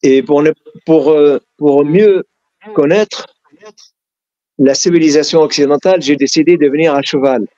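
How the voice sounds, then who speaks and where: A man's voice: on the high side, moderate pace, long pauses, warm tone, lo-fi sound. A middle-aged man from Syria with an Arabic accent, telling his life story in an interview. He is earnest and often stops and restarts his sentences. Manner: frequent restarts and hesitations, earnest.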